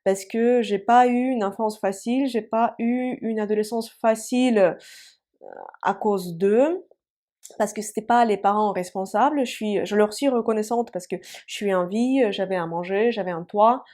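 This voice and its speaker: A woman's voice: mid-range voice, normal speed, warm tone. A woman in her thirties, a native Russian speaker, explaining things in a chatty, hesitant way with many fillers, as an online language teacher. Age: 30s. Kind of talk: casual explanatory online lesson.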